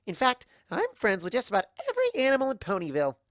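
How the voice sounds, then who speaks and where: A performer voicing a bubbly, excitable young female cartoon pony entertainer in an exuberant way. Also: medium pitch, fast talker, no echo, studio quality, voice pushed up. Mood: proud, cheery. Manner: exuberant, bursting with exclamations.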